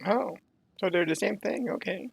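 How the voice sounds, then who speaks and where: A man's voice: high-pitched, normal speed, creaky, breathy edge. A man giving brief, casual, murmured reactions while commentating on a video game playthrough. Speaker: gamer reacting to game dialogue.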